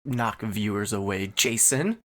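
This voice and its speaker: A man's voice: fairly low pitch, a little slow, fairly bright tone. A man co-hosting a casual comedy podcast, bantering, butting in and swearing freely. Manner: profane, bantering, interjecting. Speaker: podcast co-host.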